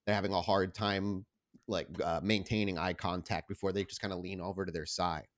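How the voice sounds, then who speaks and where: A man's voice: deep voice, flat delivery, a little fast. A man working as a game master, improvising descriptive, conversational narration for a tabletop roleplaying game. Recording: background music.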